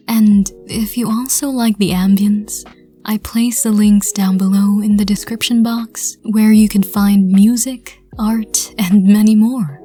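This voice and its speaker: A woman narrating an audio roleplay and hosting her channel, speaking softly with a whispery touch. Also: mid-range voice.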